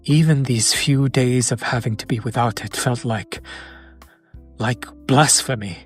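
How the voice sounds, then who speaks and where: Man acting as courtly male vampire, unhurried pace, medium pitch, tender and wistful, formal old-fashioned wording, romantic audio roleplay, music behind. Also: close to an everyday voice. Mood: adoring, intimate. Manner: formal, archaic wording, measured and flirtatious.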